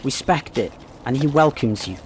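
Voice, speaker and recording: An actor playing a dutiful, mild, put-upon young knight in a comic radio play, polite and earnest. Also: male voice, medium pitch, lively intonation, moderate pace, slight background noise, natural voice. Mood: reflective. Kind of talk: radio play comedy acting.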